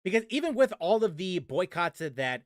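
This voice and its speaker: Brief: male gaming streamer, high voice, dry close sound, rambling frustrated rant. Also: sing-song, normal speed, no echo. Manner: rambling, many restarts and fillers, frustrated. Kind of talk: casual stream commentary.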